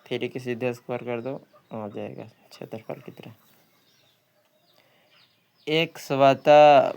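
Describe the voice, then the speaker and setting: A man's voice: mid-range voice, darkish tone, smooth. A man working through practice questions as a coaching teacher, reading each one out and repeating questions and answers.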